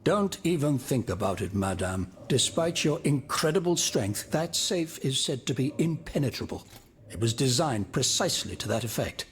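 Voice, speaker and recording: An actor playing an anguished, proud former royal minister in a video game, formal and wordy. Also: male voice, medium pitch, animated, moderate pace, a little hiss or hum, natural voice. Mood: indignant, proud. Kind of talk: video game cutscene acting.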